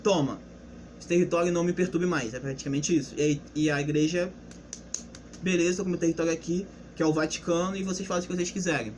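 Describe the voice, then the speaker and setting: A man's voice: a little high, steady tone, quick pace, a little mellow, a little hiss or hum. A young man giving a casual, unscripted history lesson, explaining loosely with restarts and rhetorical questions. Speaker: history presenter.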